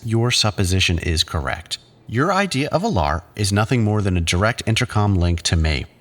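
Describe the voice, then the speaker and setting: A controlling male psychiatrist acted by a man runs the group in an audio drama. His voice sits low and moves with animated intonation. He is patronising and clinical, choosing his words with measured care.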